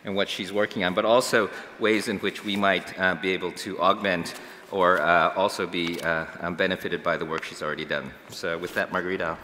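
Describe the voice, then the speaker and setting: A man's voice: deep voice, steady tone, brisk, sharp tone. A middle-aged man on a stage introducing a guest speaker, hesitant with many fillers. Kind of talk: informal introduction. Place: auditorium stage. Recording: hall reverb with faint noise.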